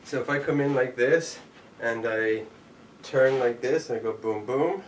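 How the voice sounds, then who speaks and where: A man teaching a live online jujitsu class, calling out each step as he demonstrates it. His voice sits at an ordinary middle pitch and bounces around an echoey room. The camera mic is a few steps away, and faint background noise sits under him. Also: a little slow, far from the mic. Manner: demonstrating moves while talking, counting out steps. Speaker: jujitsu instructor.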